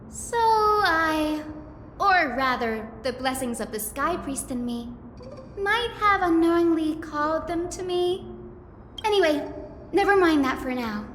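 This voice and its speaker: High-voiced gentle fantasy priestess in echo. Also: female voice. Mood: thoughtful. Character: gentle, thoughtful young priestess heroine in a fantasy game. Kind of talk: scripted game dialogue acting. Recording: echoey reverberant hall, music underneath.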